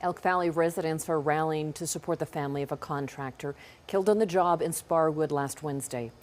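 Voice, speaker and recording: Low-voiced Canadian woman anchoring the news. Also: fairly quick, darkish tone. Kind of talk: scripted news narration.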